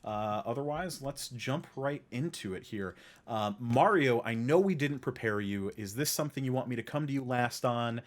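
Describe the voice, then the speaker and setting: A man's voice: medium pitch, normal speed. A man hosting a podcast, casually moderating the conversation among friends. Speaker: podcast host.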